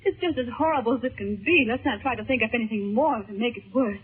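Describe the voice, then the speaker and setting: A woman's voice: on the high side, fast, slightly rough. A woman playing an anxious, tender-hearted teenage daughter in a 1930s radio serial, girlish, earnest and fretful. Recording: muffled, tinny 1930s radio recording.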